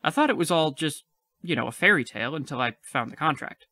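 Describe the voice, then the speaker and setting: A man's voice: on the high side, lively intonation, fast, bright, forceful tone, no echo. A man playing a frightened but defiant, clever child in an audio play. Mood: earnest explaining.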